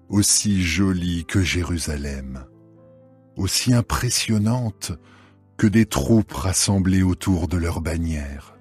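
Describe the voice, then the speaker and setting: A man playing an adoring, solemn royal lover in a dramatized scripture reading, with measured declamation. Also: sits low, husky, heavily acted.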